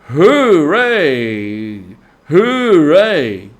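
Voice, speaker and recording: A man voicing an excitable, bossy male rat in a storybook reading, exclaiming emphatically with impatience. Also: fairly high pitch, extremely slow, voice pushed up, full character voice. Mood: jubilant. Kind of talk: animal character in storybook reading.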